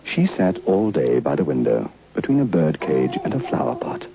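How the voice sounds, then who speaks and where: An actor playing a curious, bookish gentleman recounting a ghost tale in formal, earnest old-time radio style. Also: a bass voice, sing-song, normal speed, creaky, a crowd in the background, full character voice. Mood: reflective. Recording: muffled old radio recording.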